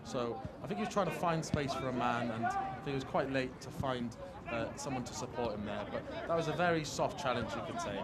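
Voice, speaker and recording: Male co-commentator amid loud crowd noise. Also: mid-range voice, fairly quick, hardly any pauses. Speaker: football co-commentator. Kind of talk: conversational sports analysis. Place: outdoors at a football ground.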